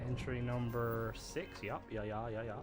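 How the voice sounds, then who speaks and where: A man's voice: medium pitch, flat delivery, moderate pace. A man hosting a live game stream, chatting casually and playfully with lots of fillers as he tours and rates builds. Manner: rambling, filler-heavy, playful. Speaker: streamer host. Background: faint game music.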